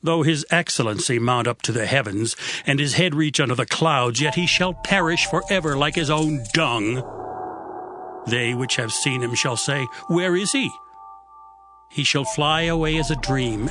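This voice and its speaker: A man's voice: medium pitch, moderate pace, lightly acted. An actor playing a stern man rebuking his friend in a dramatized scripture reading, lecturing in tone. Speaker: actor voicing Zophar. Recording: faint music under voice.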